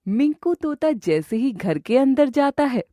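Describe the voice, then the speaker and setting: A woman's voice: high-pitched, sing-song, a little slow. A woman storyteller giving scripted narration for a children's moral tale.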